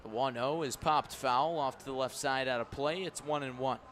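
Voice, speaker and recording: A man working as a radio play-by-play announcer, calling live baseball in crisp, clipped phrases. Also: mid-range voice, normal speed, a bit bright.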